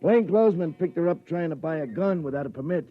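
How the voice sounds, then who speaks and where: An actor playing a blunt, slangy police sergeant in a 1950s radio drama. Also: male voice, fairly high pitch, animated, fast, faint music behind. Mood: matter-of-fact. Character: gruff, slangy city police sergeant, unimpressed. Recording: tinny, narrow-band old radio recording.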